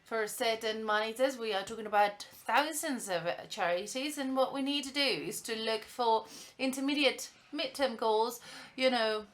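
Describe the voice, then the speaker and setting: Halting woman interpreting live. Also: fairly high pitch, fairly bright tone. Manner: halting, with frequent fillers and restarts. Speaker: simultaneous interpreter. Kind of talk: simultaneous interpretation of a conference speech.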